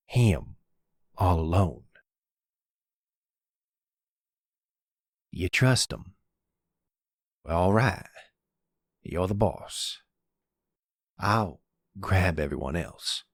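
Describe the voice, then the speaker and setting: A man playing a calm, caring older grandfather and family patriarch, measured and gentle, in an audio roleplay. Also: low-pitched, no room sound, clean studio sound, exaggerated voice. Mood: concerned, tender. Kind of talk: ASMR audio roleplay drama.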